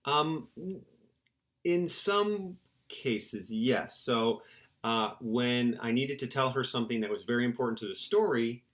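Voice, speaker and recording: A man's voice: medium pitch, measured pace, a bit bright. A middle-aged man, a children's book author, giving casual, playful interview answers in simple terms for young listeners.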